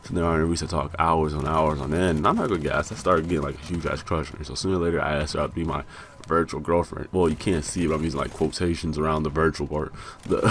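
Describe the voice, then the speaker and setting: A young American man telling a rambling, profane story about himself with self-mocking laughs, his voice a bit raspy from being high. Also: a bit bright, a little hiss or hum. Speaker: vlogger. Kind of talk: casual storytelling.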